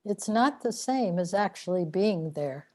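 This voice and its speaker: A woman's voice: lowish voice, lively intonation, unhurried, mellow. A woman playing a weary, plaintive immortal seeker in a sci-fi radio drama. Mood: world-weary. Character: weary immortal seeker trapped in repeating lives.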